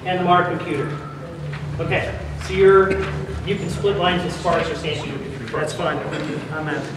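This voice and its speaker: A man's voice: fairly high pitch, fairly even intonation, moderate pace, far from the mic, over music. A man informally directing and presenting to a live audience at a convention, in an echoey, noisy hall. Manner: informal, instructing. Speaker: audio drama producer directing and presenting.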